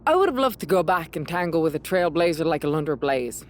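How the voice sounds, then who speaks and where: A woman's voice: low-pitched, very animated, quick pace, a little hiss or hum. A woman playing a frank, spirited female wrestler in casual video game dialogue. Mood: wistful.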